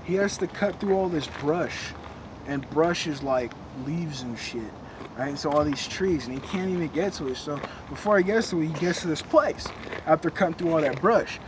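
A young man telling a creepy story in casual slang, recorded in a dark room on a camera a few feet away. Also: a little high, normal speed. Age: young adult. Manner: slangy, laid-back, joking. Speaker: co-host. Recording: noisy camera audio.

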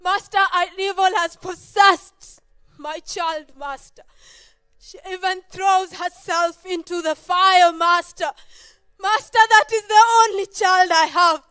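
A woman's voice: very high-pitched, steady tone, slow, pushed and bright, full character voice. A woman acting in a church Easter play as a desperate mother who tearfully begs for help for her possessed child. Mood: begging. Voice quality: tearful, pleading, repeats herself. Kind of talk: amateur stage acting.

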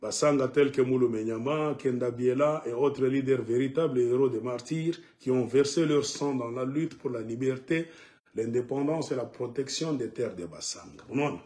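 A man's voice: medium pitch, moderate pace. A middle-aged man, delivering an impassioned, emphatic political monologue to camera at home. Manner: emphatic, animated, sweeping arm gestures. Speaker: political commentator. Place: living room at home, indoors.

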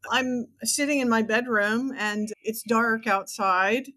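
A woman's voice: a little high, takes it slowly, sharp tone. A woman in her sixties from Texas with an American accent, talking conversationally as an interview guest. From Texas, United States. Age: 60s. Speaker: podcast guest, author and teacher.

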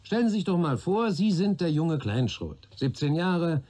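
Husky, animated grumbling police boss. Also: male voice, fairly high pitch, moderate pace. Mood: musing, persuasive. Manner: jocular, rambling, grumbling. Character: wry, chatty, grumbling older male police superior. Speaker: actor in a radio crime drama. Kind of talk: German radio play dialogue.

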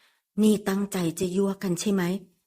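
A woman voicing an ardent young man who speaks in a slow, low murmur. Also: completely flat delivery, dark tone, natural voice. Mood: teasing.

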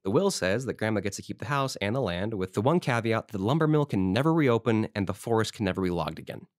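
A man's voice: on the low side, expressive, quick pace, dry recording, studio quality, natural voice. A man playing an eager small-town historical society president in an audio drama, telling stories in an informal, rambling way. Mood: eager storytelling. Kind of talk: conversational audio drama monologue.

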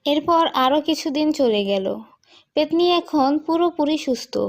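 High-voiced woman storyteller. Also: animated, a little slow. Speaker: story narrator. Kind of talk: scripted cartoon folk-tale narration.

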